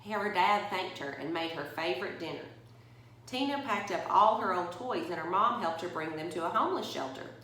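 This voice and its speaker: A woman's voice: lowish voice, lively intonation, normal speed, fairly bright tone, very echoey, poor audio. A woman reading a children's bedtime story aloud, expressively and directly to young listeners. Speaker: story reader for a church.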